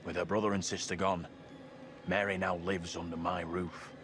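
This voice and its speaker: Deep-voiced, troubled actor as colonial townsman. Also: level tone, moderate pace, background noise, lightly acted. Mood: anxious, conflicted. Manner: formal, grave, archaic phrasing. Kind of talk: period drama dialogue.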